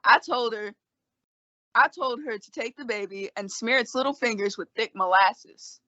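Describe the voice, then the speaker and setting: Woman as bright, argumentative frontier town doctor. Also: on the high side, normal speed, dry recording, dull audio. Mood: teasing. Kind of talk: old-time western radio play reenactment.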